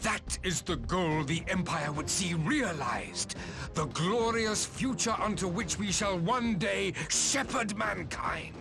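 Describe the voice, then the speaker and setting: A man playing an imperious aging emperor in a video game, speaking in grandiose, measured, archaic oratory. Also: sits high, expressive, vocal fry, over music, exaggerated voice. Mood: exalted, rallying. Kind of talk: dramatic video game cutscene monologue.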